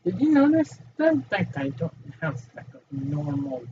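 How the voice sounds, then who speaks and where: A young man chats on a casual podcast, asking innocent questions in a high voice that leaps around a great deal. He sits back from the microphone, and the lo-fi recording carries a faint hiss. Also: normal speed. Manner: lively, naive questions, talks in a mid-range voice. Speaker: podcast co-host. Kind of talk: casual chat. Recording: distant lo-fi mic with faint hiss.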